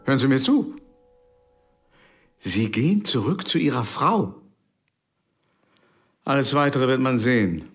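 A man's voice: mid-range voice, lively intonation, measured pace, long pauses, faint music behind, lightly acted. An actor playing a stern male official giving curt, authoritative orders in a radio drama. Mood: commanding.

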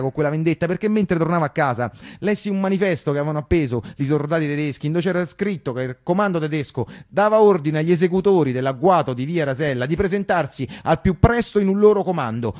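Higher-voiced Roman man telling a story. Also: darkish tone. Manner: repetitive, emphatic popular storyteller. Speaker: actor-narrator. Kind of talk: theatrical first-person storytelling monologue in colloquial dialect.

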